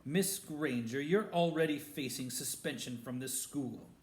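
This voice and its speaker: A man's voice: medium pitch, unhurried, close to an everyday voice. A man voicing a cold, spiteful male wizard professor who talks in a silky, sneering way. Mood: snapping. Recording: roomy echo.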